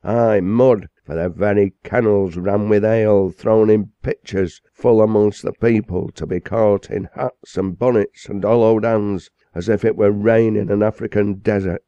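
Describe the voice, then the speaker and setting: A man voicing an excitable, indignant parson who denounces with vehemence. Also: on the low side, a little slow, hardly any pauses, clean tone, no room sound, lo-fi sound, pitched above the performer's own voice. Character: excitable indignant male parson, blunt and fiery.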